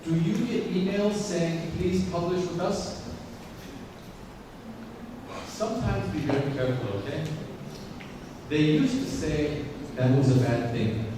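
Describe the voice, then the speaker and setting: A man's voice: on the high side, slow pace, long pauses. A man giving a guest lecture to students, speaking English as a second language, who keeps checking in with "okay?" and repeats his points. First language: not English. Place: large lecture hall. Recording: echoey hall recorded from far off with noise.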